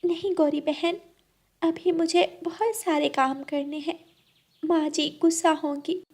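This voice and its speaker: A woman's voice: very high voice, steady tone, a little slow, darkish tone, pitched above the performer's own voice. A woman voicing a meek, sweet young wife bird in a cartoon story, deferential and plaintive. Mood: weak, anxious. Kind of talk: Hindi cartoon story acting.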